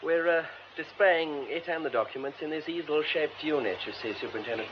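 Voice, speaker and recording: Actor as keen theatre designer, highish voice. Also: male voice, brisk, lightly acted. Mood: helpful. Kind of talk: radio drama acting. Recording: muffled radio drama, clear background noise.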